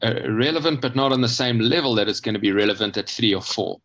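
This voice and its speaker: A man explaining his ideas conversationally as an interview guest, thoughtful and halting, often pausing mid-phrase to rephrase. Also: mid-range voice, steady tone, a bit bright, muffled recording. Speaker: guest, children's book author.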